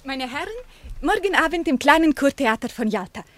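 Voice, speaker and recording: A woman's voice: high voice, animated, a little fast, no room sound. An actress playing an eager young woman guide who speaks in broken, simple phrases, in an old radio play. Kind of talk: 1950s radio play acting.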